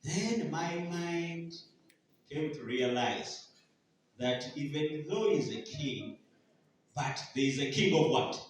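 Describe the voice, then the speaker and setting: A man's voice: a little high, big pitch swings, slow pace, a little hiss or hum. A Ugandan man preaching a sermon, often asking the congregation to finish his sentences in a call-and-response style. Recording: echoey hall, voice from far off.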